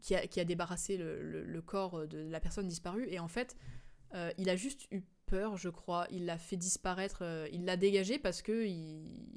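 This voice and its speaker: A woman streamer giving casual game commentary, explaining the story in a hesitant, digressive way. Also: fairly low pitch.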